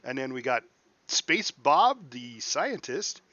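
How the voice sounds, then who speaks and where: Guy streaming a space game, bright forceful voice, jokey and chatty, laughs a lot. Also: mid-range voice, very animated, takes it slowly, dry recording, lo-fi sound. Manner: chatty, laughs often, jokey asides to chat. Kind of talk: casual live gameplay commentary.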